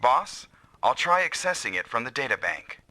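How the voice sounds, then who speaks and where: An actor playing a level-headed, capable squad teammate in an anime-style video game, giving matter-of-fact briefings. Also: male voice, mid-range voice, big pitch swings, normal speed, noisy background, natural voice. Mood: brisk.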